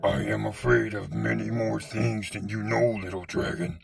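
A man voicing a brave, protective father dragon in a storybook, speaking in a deep, stern way. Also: steady tone, moderate pace, gravelly, over music. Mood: stern, fatherly. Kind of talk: storybook reading.

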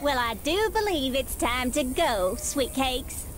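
A woman's voice: high voice, animated, slow pace, background noise. A woman playing a brash, showy and condescending TV journalist in a video game. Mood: patronizing. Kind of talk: animated video game voice acting.

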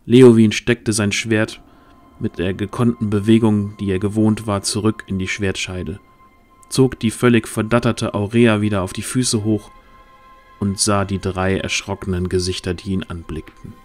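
Low-voiced man narrating fantasy. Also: level tone, moderate pace, a little mellow, faint music behind. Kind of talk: scripted fantasy audio drama narration.

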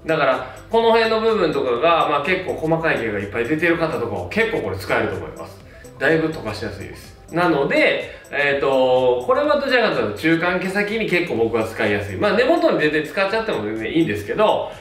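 Animated man reviewing hair products. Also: fairly high pitch, quick pace, far from the mic. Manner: relaxed, many fillers, gestures with products. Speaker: hairstylist. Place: small plain indoor studio. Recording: roomy sound, mic set back, faint music.

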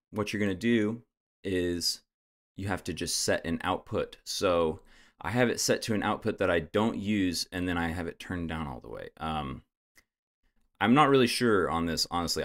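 A young man casually guiding viewers step by step through a software setup, with frequent "uh"s. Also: sits low. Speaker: tech tutorial host. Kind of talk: screen-recorded software tutorial.

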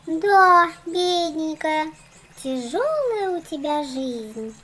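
A woman's voice: extremely high pitch, lively intonation, extremely slow, bright, forceful tone, slight background noise, heavily acted. A woman voicing a fussy, boastful little doll girl in a children's cartoon, theatrical and condescending. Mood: smug teasing.

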